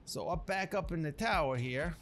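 A man's voice: on the high side, expressive, normal speed, a bit bright, faint music behind. A man playing a rambling streetwise former drug cook who recounts a legend as game commentary, in slangy, folksy tough-guy talk. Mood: rambling, cocky. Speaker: let's player. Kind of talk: in-character running game commentary.

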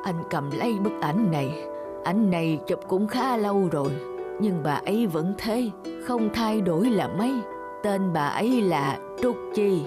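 A woman's voice: mid-range voice, animated, measured pace, over music, natural voice. A woman voicing a loving, anxious elderly husband in a cartoon, with a pleading manner. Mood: worried. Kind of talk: cartoon dub acting.

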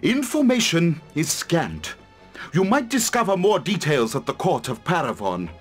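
A learned court adviser in a fantasy video game. His voice is on the high side and very expressive, measured yet ominous, with faint music underneath. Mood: ominous, advisory. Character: learned, cautious male adviser to a lord. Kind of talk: video game cutscene acting.

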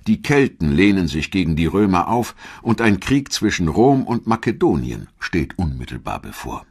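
A man narrating a scripted history documentary in a measured, dramatic storytelling manner. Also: low voice, sing-song, slightly rough.

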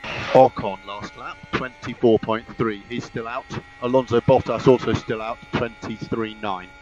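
Over a dull, lo-fi team radio link, a man works as a race engineer. His voice sits at an ordinary pitch and he gives clipped, measured instructions, repeating the important calls. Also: steady tone, darkish tone. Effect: team radio transmission.

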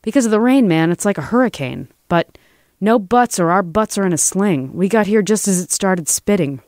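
A man's voice: sits high, expressive, moderate pace, dry recording, studio quality, voice pushed up. A man voicing a pushy, slangy male political aide with an urgent, irritable manner in a story reading.

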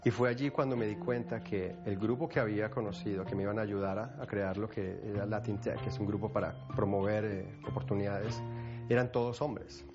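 A man speaking in a halting way with many restarts in a documentary interview. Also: fairly low pitch, steady tone. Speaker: tech group founder. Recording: slightly dull audio with music. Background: music.

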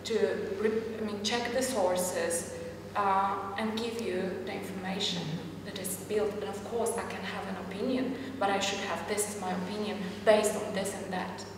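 A woman's voice: mid-range voice, flat delivery, normal speed. A middle-aged woman with a Polish accent speaking insistently as a journalist on a discussion panel. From Poland. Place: gallery room with small audience. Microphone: distant camera microphone. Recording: very echoey hall, distant, noisy.

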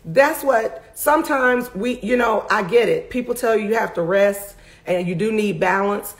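Woman preacher, raspy gravelly voice, fervent and emphatic, middle-aged, close webcam in a home study. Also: mid-range voice, normal speed. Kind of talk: sermon recap to camera. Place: home study with bookshelves, indoors.

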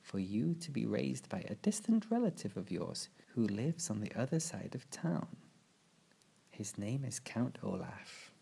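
A busy, stiff middle-aged banker, as a male narrator plays him in a storybook. He sounds formal and matter-of-fact, with a very husky, gravelly and mellow voice. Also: lowish voice, sing-song, measured pace, full character voice.

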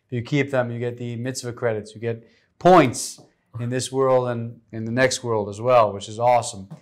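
A man's voice: mid-range voice, steady tone, normal speed. A man in his thirties hosting a casual wine tasting chat in a studio, enthusiastic and rambling, with a lapel mic. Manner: enthusiastic, rambling with many likes. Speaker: host. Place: studio set with vineyard backdrop.

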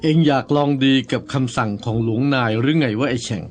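An actor in a radio drama playing a household servant in olden times, humble to his master and rough with his peers. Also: medium pitch, quick pace, close to an everyday voice. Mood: scolding. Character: deferential, grumbling male servant in an old noble household. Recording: studio radio drama, music behind.

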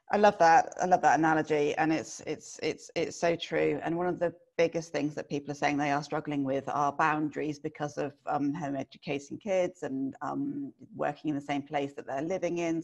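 A woman's voice: low voice, vocal fry, darkish tone. A woman in her forties hosting a webinar over a video call, enthusiastic and appreciative as she responds to a speaker. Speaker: webinar host. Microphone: video call webcam.

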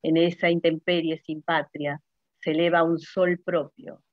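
A woman's voice: low-pitched, flat delivery, a little slow, no room sound. A woman poet with a Uruguayan Spanish accent, speaking at an online literary event. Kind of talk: poetry reading and introductory remarks at an online launch. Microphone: video call. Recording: dull lo-fi video call audio.

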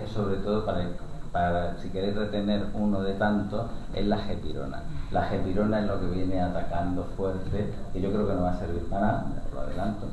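A man's voice: deep voice, recorded from a distance. Middle-aged Spanish man lecturing in echoey hall. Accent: Spain Spanish. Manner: informal, adds asides and tag questions. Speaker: conference speaker. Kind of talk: academic talk to an audience. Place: large hotel conference room. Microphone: table mic on a panel. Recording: very echoey room from afar, noisy.

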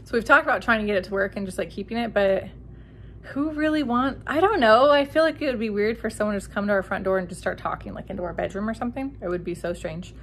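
A woman's voice: medium pitch, fast talker, fairly bright tone. An American woman in her thirties chatting informally and musing aloud as she gives a casual home tour on a handheld phone indoors. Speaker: vlogger showing features of their house. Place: indoors, rooms of a house. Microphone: handheld phone at arm's length. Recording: phone audio with some room noise.

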